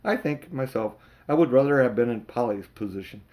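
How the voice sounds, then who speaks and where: A man's voice: mid-range voice, expressive, brisk, a little mellow. Older man reading a storybook aloud. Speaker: amateur audiobook reader. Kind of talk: expressive storybook narration.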